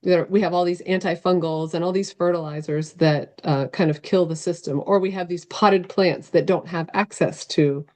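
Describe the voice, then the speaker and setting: A woman's voice: lowish voice, steady tone, normal speed, few pauses, darkish tone. A woman speaking as a guest on a video call, explaining things in a casual, conversational style. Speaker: guest, novelist and professor.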